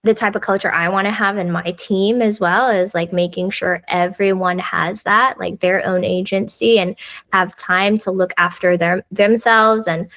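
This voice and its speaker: A chatty woman in her thirties, speaking at a mid pitch. She talks loosely as a podcast guest and fills her sentences with "like" and "you know.". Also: steady tone, moderate pace, fairly bright tone, no echo. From United States. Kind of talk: casual conversational interview.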